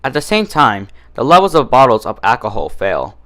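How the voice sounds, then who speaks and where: A man reads scripted fiction aloud. His pitch is mid-range and rises and falls in an animated way as he tells the story. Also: normal speed. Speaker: fan fiction reader.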